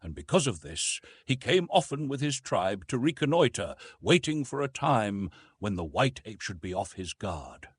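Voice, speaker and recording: A man narrating a classic adventure story as an audiobook, in a measured, dramatic storytelling manner. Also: on the low side, sing-song, husky, no room sound.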